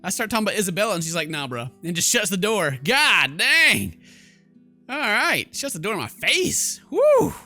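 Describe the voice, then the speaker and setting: A man hosting a gaming video with a bright, forceful voice that swings widely. He comments casually and mockingly, with faint music behind him. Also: high voice, huge pitch swings, moderate pace. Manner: mocking, jokey, mimics characters. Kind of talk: casual reactive gameplay commentary.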